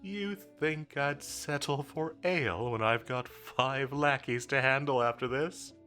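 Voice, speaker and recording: A man's voice: medium pitch, unhurried, pushed and bright, faint music behind. A man playing a confident, teasing villain commander in a roleplay audio drama, drawling and flirtatious with a bossy edge. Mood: playful, flirtatious. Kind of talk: scripted roleplay audio drama addressed to the listener.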